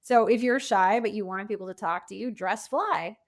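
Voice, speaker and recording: Woman on a podcast as guest, medium pitch, chatty anecdotes, informal, acts out little quotes. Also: normal speed. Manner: informal, illustrates points with anecdotes and acted-out quotes. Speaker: podcast guest, author and coach. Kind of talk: casual conversational storytelling.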